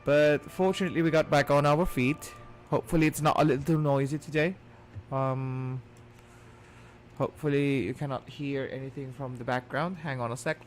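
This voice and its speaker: A man's voice: mid-range voice, fairly even intonation, normal speed, soft background music. A man chatting casually as a game streamer, easygoing, with muttered asides. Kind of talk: casual livestream chat.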